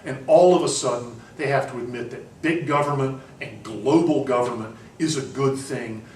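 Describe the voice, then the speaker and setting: Professor type lecturing to a seminar class, mid-pitched, pauses and restarts, recorded from the back of an echoey room. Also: male voice, darkish tone, far from the mic, slight background noise. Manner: discursive, with frequent ums and restarts. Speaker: university lecturer. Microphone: far room recording.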